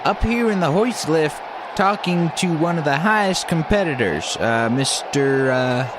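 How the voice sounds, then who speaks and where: A man playing an excitable, rambling TV field reporter in a comedy radio sketch, shouting his live report. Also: a little high, a little slow, crowd noise, noisy background. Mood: excited. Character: excitable male TV field reporter at absurd Olympics. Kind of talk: parody live sports reporting.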